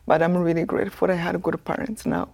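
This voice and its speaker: Soft-spoken Rwandan woman, low gravelly voice. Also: level tone, fast talker. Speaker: guest, author. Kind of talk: telling her life story in a panel talk.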